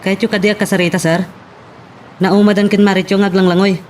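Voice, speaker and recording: High-voiced, deferential male assistant played by an actor. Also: level tone, quick pace, darkish tone, a little hiss or hum, natural voice. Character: deferential male employee reporting to his boss. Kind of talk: Ilocano radio-style drama acting.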